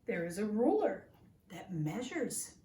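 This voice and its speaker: Slow-reading woman in an echoey room. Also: medium pitch, very animated, dark tone. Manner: deliberate, simple explanatory phrasing for small children. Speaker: teacher reading a picture book to young children. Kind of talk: children's story read-aloud. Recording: roomy echo, distant mic, faint noise.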